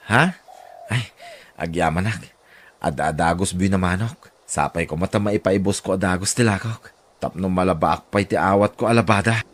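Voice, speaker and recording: A man's voice: deep voice, moderate pace. An actor with an Ilocano accent playing a humble, hardworking middle-aged father in a radio drama. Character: humble, hardworking poor father and laundry worker.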